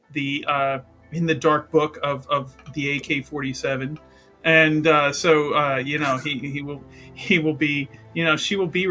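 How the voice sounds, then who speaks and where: Halting man with a bright, higher voice. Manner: halting, rambling, repeats words. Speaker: player in a tabletop roleplaying game. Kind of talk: casual improvised story narration.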